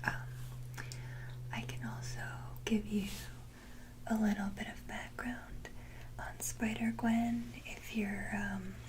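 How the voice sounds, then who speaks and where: A woman hosting an ASMR video, speaking casually in a breathy, gentle whisper, in short halting phrases. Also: mid-range voice, level tone. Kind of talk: soft-whispered ASMR, casual show-and-tell.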